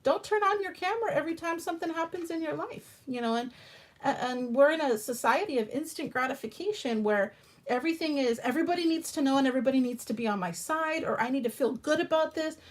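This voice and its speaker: Woman host, high-voiced, hoarse and a little raspy, frank rambling livestream chat. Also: animated, fast. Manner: rambling, frank, restarts often. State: hoarse from allergies. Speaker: tarot channel host.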